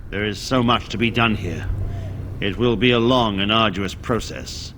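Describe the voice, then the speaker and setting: An actor playing a stern, solemn senior mage in a fantasy game, speaking formally and with measured care. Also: male voice, fairly low pitch, pushed and bright, a little hiss or hum. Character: solemn, dismissive senior male mage of a circle.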